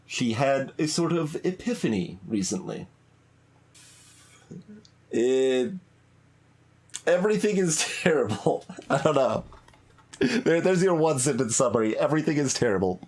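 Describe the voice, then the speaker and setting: Guy streaming a game, medium pitch, thinking out loud, kinda halting casual commentary. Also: sing-song. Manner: halting, musing aloud. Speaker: let's play streamer.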